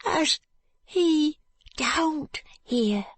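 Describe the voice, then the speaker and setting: A woman voicing a frightened, pitiful ragged street boy with a high, cracked, tearful voice and slurred street speech. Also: extremely slow, dry recording.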